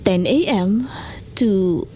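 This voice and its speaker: A woman's voice: medium pitch, expressive, extremely slow, background noise. A woman playing a kindly but businesslike middle-aged aunt who runs a café, giving motherly instructions in an audio drama.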